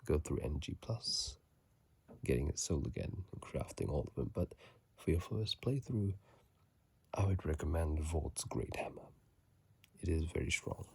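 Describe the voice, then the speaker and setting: Breathy, hushed ASMR whisperer, close and dry. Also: rumbling low, lively intonation, unhurried, long pauses, no echo. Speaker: video creator and host. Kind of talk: whispered ASMR reading with casual game commentary. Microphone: phone mic held close.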